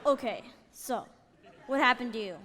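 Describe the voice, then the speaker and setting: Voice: medium pitch, moderate pace, over a noisy crowd, close to an everyday voice. A young performer playing a casual, easily distracted boyish scan technician who gushes in slang in a staged radio play. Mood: casual, indifferent. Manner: slangy, gushing, tactless. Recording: faint noise on stage recording.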